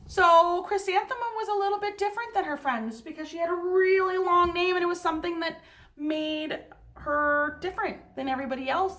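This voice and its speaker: A woman's voice: high voice, a bit bright. A woman reading a picture book aloud as a teacher, expressively and with dramatic emphasis, stopping to speak directly to the children. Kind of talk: animated children's read-aloud with asides and questions.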